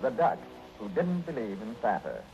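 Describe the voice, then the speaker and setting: A man playing a gentle, kindly father and storyteller in a 1940s radio play. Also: fairly low pitch, huge pitch swings, normal speed. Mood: storybook narration. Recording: dull 1940s radio recording. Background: music.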